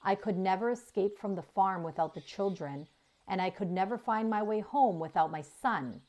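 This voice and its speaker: A woman voicing a kind, patient female robot in a children's story, measured and sincere. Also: mid-range voice, a little mellow, lo-fi sound, lightly acted. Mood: earnest.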